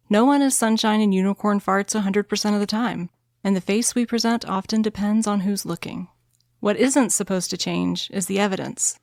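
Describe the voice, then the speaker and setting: A woman's voice: mid-range voice, gravelly, no echo, studio quality. Soothing-voiced woman hosting a podcast. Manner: soothing, measured delivery. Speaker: podcast host. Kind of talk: scripted true crime narration and chat.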